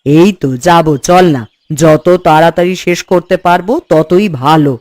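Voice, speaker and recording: A woman's voice: on the low side, steady tone, normal speed, a little mellow, no room sound, studio quality, close to an everyday voice. A woman voicing a hopeful village housewife in a cartoon, homely and conversational. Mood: hopeful, practical. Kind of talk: Bengali village cartoon dialogue. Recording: music under the voice.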